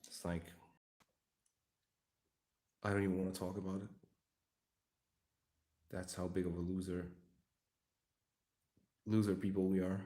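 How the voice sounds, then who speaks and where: Hungarian male streamer, very low voice, loose joking ramble, echoey room. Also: little pitch movement, measured pace, long pauses, dark tone. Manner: rambling, joking, talking to chat. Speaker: livestream hobby host. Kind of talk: casual livestream chat while building a model.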